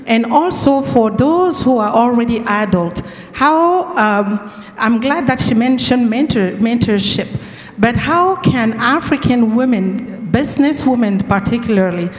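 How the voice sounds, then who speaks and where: Woman from Cameroon, audience questioner; earnest, halting; higher voice, roomy hall reverb. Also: animated. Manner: earnest, halting, restarts often. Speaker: audience member asking a question. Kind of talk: conference question. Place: large conference hall. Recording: echoey conference hall.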